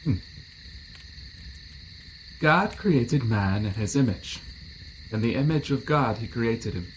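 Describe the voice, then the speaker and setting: An actor playing a kind young man in an audio drama, gently and patiently teaching someone to read. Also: mid-range voice, expressive, slow pace, a little hiss or hum, poor audio. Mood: patient, encouraging.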